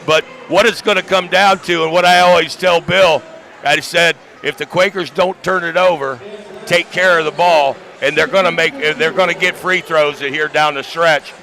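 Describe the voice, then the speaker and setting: A man's voice: on the high side, steady tone, normal speed, creaky, sharp tone. An American man giving conversational basketball analysis as a color commentator, in a plain-spoken, folksy way. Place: courtside in high school gymnasium.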